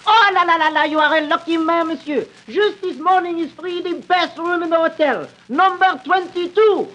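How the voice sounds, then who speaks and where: An actor playing a fussy, excitable French hotel manager with a French accent and broken English in a radio play. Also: male voice, very high-pitched, moderate pace, low-quality recording. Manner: theatrical, exclamatory, broken English.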